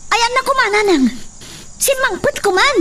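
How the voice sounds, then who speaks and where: A woman's voice: very high-pitched, normal speed, pushed and bright. A young woman playing a resolute, fiercely protective daughter in an emotional radio melodrama. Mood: upset, indignant. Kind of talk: melodramatic radio drama acting. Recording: distant mic, faint background noise.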